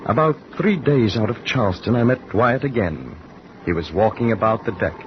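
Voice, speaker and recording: An actor in 1940s radio drama playing a curious, polite gentleman who narrates his voyage in a measured, formal way. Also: fairly low pitch, expressive, natural voice. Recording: muffled old radio recording with hiss.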